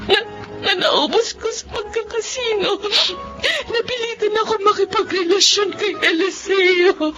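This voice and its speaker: A woman's voice: very high voice, highs cut off, heavily acted. A woman playing a remorseful, desperate wife in a Filipino radio drama, tearful and pleading. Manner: tearful, pleading, emotional delivery. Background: dramatic music.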